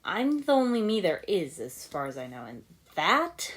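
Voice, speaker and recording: A woman's voice: on the low side, very animated, moderate pace, voice pushed up. A woman voicing an earnest young male ninja hero, casual and sincere, in a story reading. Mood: puzzled.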